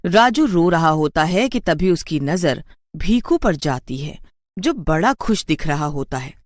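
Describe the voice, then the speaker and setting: An expressive narrator tells a scripted cartoon tale. The pitch is moderate but moves around a great deal from phrase to phrase. The recording is dry, with no audible room. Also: unhurried, no room sound. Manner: expressive storytelling.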